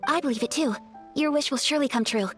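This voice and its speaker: A woman voicing a gracious young noblewoman in a video game, speaking politely and gently with formal, earnest phrasing. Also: sits high, quick pace, soft background music, close to an everyday voice. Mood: tender, earnest.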